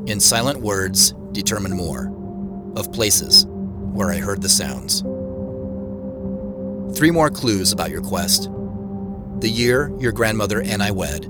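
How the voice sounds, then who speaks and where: An actor playing a wry, reflective man who narrates his own mystery in an audio drama, in measured phrases. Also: fairly low pitch, lively intonation. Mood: solemn reading. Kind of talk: first-person scripted audio drama narration. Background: music.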